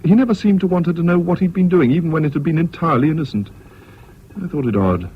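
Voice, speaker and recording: An actor playing an educated English gentleman narrating his memories in a radio drama, measured, candid and wry. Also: a little high, slightly rough. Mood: reflective, wry. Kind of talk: scripted first-person radio drama narration. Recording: dull old radio recording.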